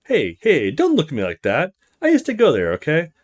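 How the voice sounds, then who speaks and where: Man doing a chatty old taxi driver, very lively. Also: fairly high pitch, fairly quick, pitched above the performer's own voice. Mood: defensive, amused. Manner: folksy, chatty, eager to give tips. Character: chatty old male taxi driver, friendly, a bit roguish.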